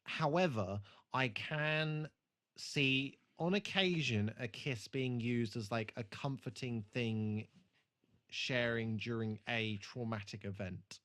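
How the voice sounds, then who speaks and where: A man's voice: medium pitch, slow pace, a bit bright. A British man co-hosting a podcast, reviewing an episode in casual, hesitant chat as he thinks aloud. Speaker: podcast co-host.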